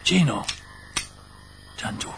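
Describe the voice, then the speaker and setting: An actor playing a grave, weary old mafia boss in a radio crime drama, an older man with a husky voice. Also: slow pace, background noise, lightly acted. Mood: stern, pleading.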